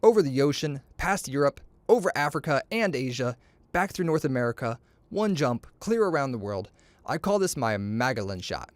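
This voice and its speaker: Male storyteller, lively and swooping, playful with boastful dialogue, recorded dry and close. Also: mid-range voice, normal speed, no echo. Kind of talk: animated storybook reading with character dialogue.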